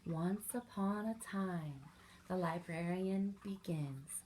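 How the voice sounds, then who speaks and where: A woman's voice: fairly low pitch, slow pace, darkish tone, slight background noise. A woman reading aloud to young children as a story time host, expressively and with little asides to the listeners.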